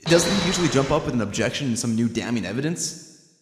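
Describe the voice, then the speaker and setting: A man's voice: medium pitch, expressive, fast, noisy background, lightly acted. A man voicing an earnest young defense lawyer with wry sarcasm in an amateur courtroom fan dub. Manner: emphatic, wry asides. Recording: music behind the voice.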